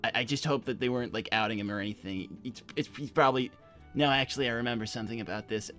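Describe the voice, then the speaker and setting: A man's voice: mid-range voice, quick pace, a bit bright, faint music behind, lightly acted. A man playing a naive, earnest teenage boy, stammering and hesitant, in an improvised in-character livestream. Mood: flustered. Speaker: co-host answering viewer messages.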